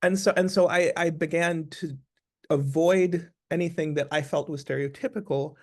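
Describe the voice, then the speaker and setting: A fairly high-voiced American man answers questions as an actor in a conversational interview. He is reflective, and he restates his points with emphasis. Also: steady tone, moderate pace, a little mellow.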